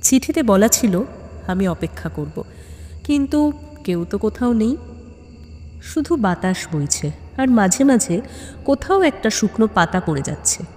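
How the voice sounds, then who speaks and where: A woman narrates a detective story in a suspenseful, deliberate way with dramatic pauses. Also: medium pitch, dark tone. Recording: long cavernous reverb on the voice.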